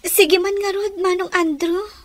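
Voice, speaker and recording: A woman's voice: extremely high pitch, steady tone, unhurried, dry recording. A woman playing a put-upon, plaintive young housemaid in a radio drama, with an Ilocano accent. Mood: pleading.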